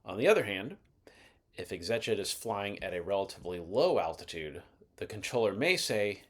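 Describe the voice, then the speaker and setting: A man's voice: fairly low pitch, big pitch swings, moderate pace. A man giving scripted instructional narration as the host of an explainer video, in a methodical, explanatory manner. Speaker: host of an aviation explainer channel.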